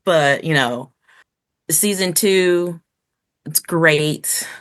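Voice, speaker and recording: A woman's voice: low-pitched, drawn-out words. A woman co-hosting a podcast, giving her opinions in a casual, informal and conversational way. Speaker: podcast host. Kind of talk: casual review chat.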